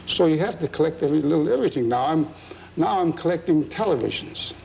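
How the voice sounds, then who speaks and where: A man's voice: fairly high pitch, lively intonation, fast, creaky, darkish tone, a little hiss or hum, low-quality recording. A man in his sixties chatting casually in an interview, folksy and plainspoken. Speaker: antique machine collector being interviewed. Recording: old videotape with music behind.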